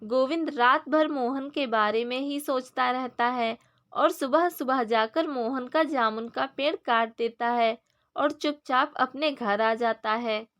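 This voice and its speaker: A woman's voice: sits high, slow, fairly bright tone. A woman narrating a scripted moral story for children in storybook style.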